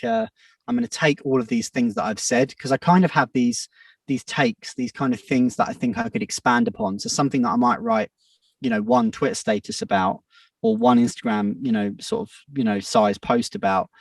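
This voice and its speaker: A man in his thirties talks through his thoughts in a casual podcast chat, wandering and restarting as he goes. His voice has a gravelly edge and swings widely in pitch. He sits a little back from the mic in a dry, dead-sounding room. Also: no echo, recorded from a distance. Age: 30s. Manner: reflective, rambling with many restarts and fillers. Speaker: music producer and DJ guest.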